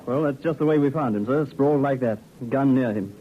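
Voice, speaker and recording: A man's voice: mid-range voice, fairly quick, close to an everyday voice. An actor playing a matter-of-fact junior policeman giving terse, deferential reports in a vintage radio drama. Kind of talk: 1950s radio drama acting. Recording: thin, narrow old radio recording.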